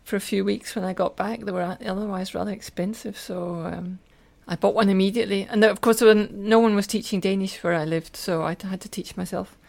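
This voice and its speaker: Older scottish lady on a podcast, bit of creak in the voice, telling her story earnestly and in detail. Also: medium pitch, fairly even intonation, brisk, few pauses, a little mellow. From Scotland. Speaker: podcast guest, teacher and lecturer. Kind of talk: personal storytelling in interview.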